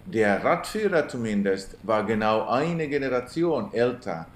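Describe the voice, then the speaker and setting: Guy narrating, dry self-mocking tone, slow pace, roomy reverb. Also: mid-range voice, lively intonation. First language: Swedish. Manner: dry, self-ironic storytelling. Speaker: cyclist narrating his trip video. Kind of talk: scripted voice-over narration.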